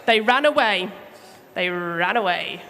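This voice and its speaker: A woman telling a Bible story to children live at church, theatrical and emphatic with deliberate phrasing. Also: medium pitch, animated, fairly bright tone. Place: large room, live church service. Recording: echoey hall, clear background noise.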